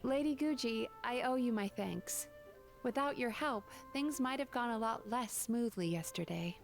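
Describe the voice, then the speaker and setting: An actress playing a composed, gentle-mannered young woman therapist in a video game, speaking in measured, formal phrases. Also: a little high, vocal fry. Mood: dry, polite. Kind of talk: scripted video game dialogue. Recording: game music behind the voice.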